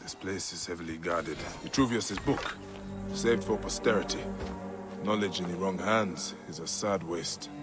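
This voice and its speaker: An actor playing a calm, resolute ancient warrior protector with a measured, dry-witted way of talking in a video game. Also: male voice, deep voice, over loud music. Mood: calm, wry. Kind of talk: video game cutscene acting.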